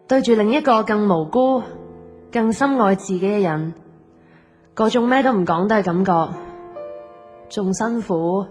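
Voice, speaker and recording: A woman's voice: mid-range voice, unhurried, pauses often, lightly acted. A woman with a Hong Kong Cantonese accent playing a lovelorn young woman who hides her heartache, narrating her feelings in the first person. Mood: pained, reflective. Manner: introspective, emotional storytelling. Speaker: narrator and lead character of an audio drama. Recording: music bed under the voice.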